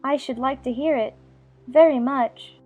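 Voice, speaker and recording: A woman's voice: high voice, measured pace, narrow, tinny audio, soft background music. A woman playing a polite, curious young girl in a dramatized audiobook, speaking carefully and inquisitively. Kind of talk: dramatized audiobook character acting.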